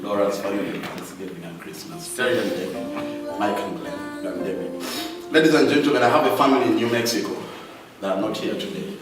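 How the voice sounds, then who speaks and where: A man's voice: on the low side, a little fast. Man speaking in an echoey hall. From Uganda. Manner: warm and grateful, addressing the crowd directly. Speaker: speaker thanking supporters at an event. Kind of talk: heartfelt speech to an audience. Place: large hall with an audience. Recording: echoey hall, distant mic, loud music.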